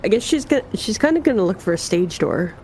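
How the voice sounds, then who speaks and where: A woman's voice: mid-range voice, very animated, fairly quick, a little mellow, a little hiss or hum. A woman chatting casually as a player in a tabletop role-playing game.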